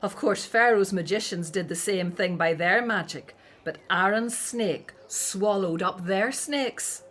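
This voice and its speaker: Dutiful daughter, ancient Egypt, mid-pitched, expressive, outspoken, audio drama. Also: female voice, unhurried. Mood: chatty, informative.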